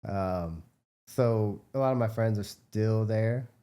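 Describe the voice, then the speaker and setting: This is an American man with a lowish, very mellow and relaxed voice. He speaks as a guest in a casual interview. His answers are informal and wander as he explains himself. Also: takes it slowly. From United States, Midwest. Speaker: interview guest, biomedical researcher and entrepreneur.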